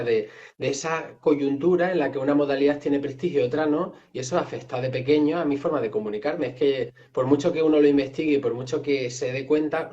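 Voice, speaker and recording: A man from Extremadura, a writer and translator, explaining things in a reflective, somewhat hesitant way in a relaxed conversation. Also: mid-range voice, lo-fi sound. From Extremadura, Spain. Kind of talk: casual conversation.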